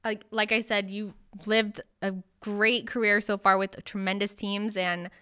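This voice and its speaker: A woman's voice: medium pitch, flat delivery, a little slow, sharp tone, clear, resonant tone, no room sound, clean studio sound. A woman hosting a podcast and interviewing a guest in a casual, conversational way.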